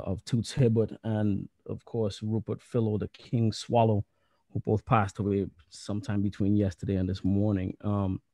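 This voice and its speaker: A man in his thirties from Trinidad, speaking on a video call with earphones, earnest and halting with repeated words. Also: lowish voice, dark tone, no echo. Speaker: musician and associate professor. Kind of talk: panel talk.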